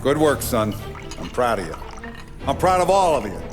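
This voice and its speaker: An actor playing an ageing, commanding 1930s Italian-American mob boss, assured and avuncular, in a cinematic crime game. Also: male voice, medium pitch, sing-song, normal speed, over music, natural voice. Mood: assured, proud.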